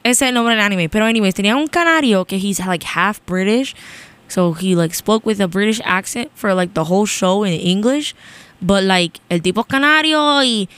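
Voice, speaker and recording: A woman's voice: medium pitch, animated, moderate pace, fairly bright tone, clean tone, no room sound. A young woman with a Puerto Rican accent hosting a college radio show, chatting in a rambling way and switching between Spanish and English mid-sentence. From Puerto Rico. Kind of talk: casual on-air chat.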